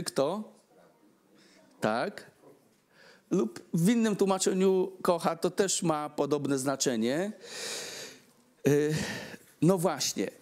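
A man in his forties giving a Christmas sermon at a lectern mic in a church hall, gesturing and putting questions to the congregation. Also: high-pitched. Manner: animated, asks the congregation questions, gestures broadly. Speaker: preacher. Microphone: stand mic at lectern.

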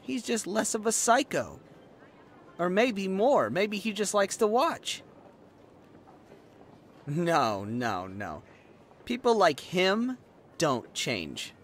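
A man's voice: high voice, sing-song, slow pace, pauses often, a little hiss or hum, full character voice. A young man acting a resentful former bullying victim in a roleplay audio drama, with a sarcastic, confrontational manner. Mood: bitter, scornful. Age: young adult.

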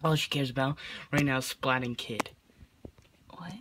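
A man's voice: medium pitch, steady tone, normal speed, noisy background. A man reading fan fiction aloud as a comedy host, amused and prone to stumbles and ad-libbed asides. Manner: breaks into giggles, stumbles over the text.

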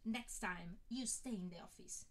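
A woman voicing a brisk, impatient young woman in an audiobook reading. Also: mid-range voice, lightly acted.

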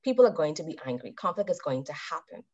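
A woman in her thirties giving a calm, measured talk to a club over a video call and putting questions to her listeners. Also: low-pitched. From Bahamas. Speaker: club speaker. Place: indoors with a virtual background. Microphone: webcam on a video call. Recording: dull video-call audio.

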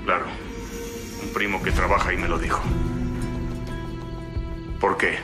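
A man's voice: deep voice, flat delivery, a little slow, music in the background. A man playing a cocky, scheming ranch right-hand man in a telenovela. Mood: sly, confident. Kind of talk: telenovela acting.

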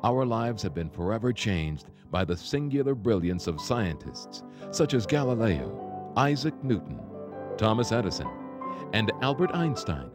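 A man giving scripted documentary narration in a smooth, measured delivery. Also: lowish voice, sing-song. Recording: voice-over with clear music bed.

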